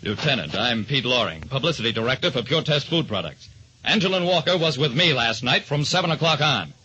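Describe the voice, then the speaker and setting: A man's voice: medium pitch, sing-song, a little fast, bright, forceful tone, a little hiss or hum. A man playing a determined publicity director, speaking firmly in an old radio drama. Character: determined tall thin male publicity director. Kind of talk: 1940s radio drama acting. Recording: dull old-time radio recording.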